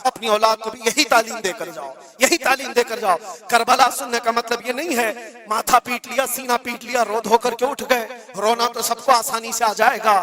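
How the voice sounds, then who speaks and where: High-pitched, forceful Indian male preacher. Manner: emphatic, exhorting, repeats phrases for weight. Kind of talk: impassioned religious sermon.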